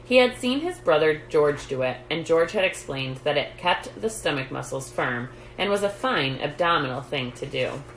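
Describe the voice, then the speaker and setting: A woman reading a children's book aloud as a storytime host, in a measured storytelling way. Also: deep voice, animated. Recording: roomy echo, light background noise.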